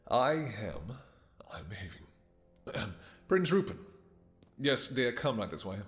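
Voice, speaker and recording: A man's voice: fairly low pitch, gravelly, exaggerated voice. A man playing a possessive, courtly vampire king in a romantic fantasy audio roleplay, speaking silky endearments with mock-polite menace. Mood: menacing mock politeness.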